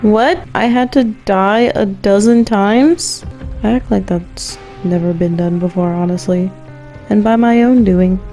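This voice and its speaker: A woman's voice: mid-range voice, measured pace. A woman doing casual, joking gameplay commentary in a wry, playfully dramatic way. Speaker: game streamer. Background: soft game music.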